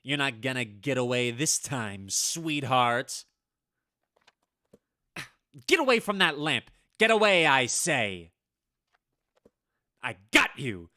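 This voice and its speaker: A male actor plays a haunted husband in an old-time radio horror play, muttering and stammering in agitation. The delivery is very slow with wide swings of pitch, and the tone is bright and forceful. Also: sing-song, dry recording, clean studio sound, full character voice. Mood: menacing, gloating. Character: frantic, haunted husband armed against a witch, muttering to himself. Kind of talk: vintage radio horror acting.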